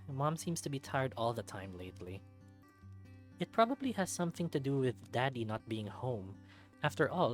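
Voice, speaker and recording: Male let's-play narrator, mid-pitched, storybook read-aloud delivery, light music bed underneath. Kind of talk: dramatic read-aloud storytelling with casual commentary.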